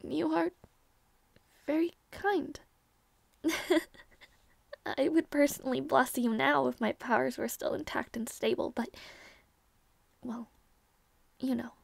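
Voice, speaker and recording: A woman voicing a proud young princess who teases, stammers when flustered and sometimes drops to a soft whisper, in an audio roleplay. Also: high voice, steady tone, slow pace, vocal fry, long pauses, no echo, exaggerated voice. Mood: tender.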